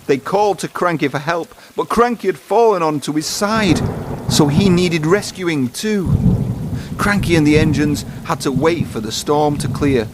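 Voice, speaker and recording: A man's voice: fairly high pitch, lively intonation, normal speed, heavy background noise. A man narrating a children's storybook, reading aloud.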